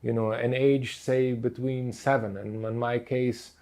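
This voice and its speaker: Thoughtful man, many pauses. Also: mid-range voice, little pitch movement, darkish tone. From Lebanon. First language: Portuguese. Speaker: speaker on inner child work. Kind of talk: reflective teaching talk.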